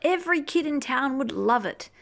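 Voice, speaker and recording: A woman reading a rhyming children's book aloud expressively, drawing out key words and chatting gently to young listeners. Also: high voice, vocal fry. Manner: animated, drawn-out key words, gentle questioning asides. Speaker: storybook reader reading to children.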